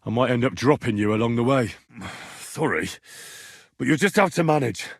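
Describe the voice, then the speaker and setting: An earnest young medieval commoner for a video game. His voice is husky and swings expressively, with a cheeky, dogged, bantering way of speaking. The recording is close and dry. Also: medium pitch, expressive, normal speed, no room sound. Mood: exasperated. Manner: colloquial, questioning, bantering. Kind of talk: video game character dialogue.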